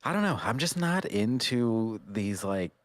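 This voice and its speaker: A man with a deep voice speaks in a very dry recording space. He co-hosts a casual podcast and talks in an informal, conversational manner. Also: very animated, unhurried, no echo. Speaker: podcast co-host. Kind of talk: casual chat.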